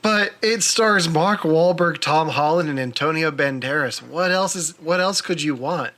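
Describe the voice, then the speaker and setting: A man's voice: fairly high pitch, moderate pace, sharp tone, slight background noise. A man hosting a podcast, chatting casually and with enthusiasm about movies and games. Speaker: podcast host.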